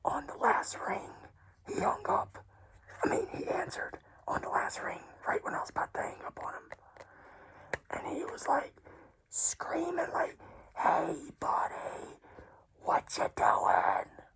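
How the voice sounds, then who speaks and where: A woman whispering a personal story in a hushed, tearful, casual way, swearing freely. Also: very high voice, lively intonation, a little slow, creaky, rough recording.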